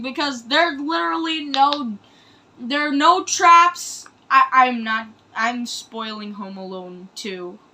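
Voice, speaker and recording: High, bright-voiced woman reacting excitedly. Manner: excitable, exclamatory, rambling. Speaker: reaction video host. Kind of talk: casual reaction commentary.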